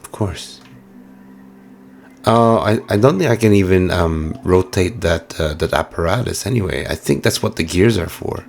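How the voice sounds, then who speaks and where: A hoarse man giving casual, musing let's-play commentary with plenty of fillers while he plays. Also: low-pitched, normal speed, darkish tone, slight background noise. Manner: musing, chatty, many fillers. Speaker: game streamer.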